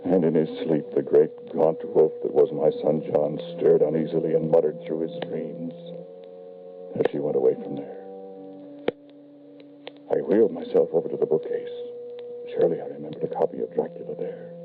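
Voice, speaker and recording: An actor playing an anguished, grieving father who narrates his tale solemnly and haltingly in an old-time radio horror drama. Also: a bass voice, little pitch movement, music in the background. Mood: grim narration. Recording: muffled, band-limited old radio recording.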